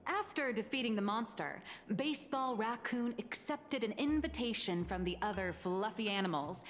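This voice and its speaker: A woman's voice: fairly high pitch, lively intonation, slight background noise, close to an everyday voice. A woman voicing a poised comic artist. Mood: calm. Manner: measured, explanatory, lightly wry. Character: poised female comic artist explaining her craft. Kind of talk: video game voice acting. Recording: game audio with long reverb and faint music.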